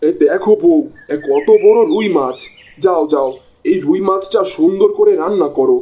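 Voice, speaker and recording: A man's voice: a little high, normal speed, dark tone, close to an everyday voice. A man voicing an easily exasperated village husband in a cartoon, with an exclamatory, scolding way of talking. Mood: eager, bossy. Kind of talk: Bengali cartoon dialogue.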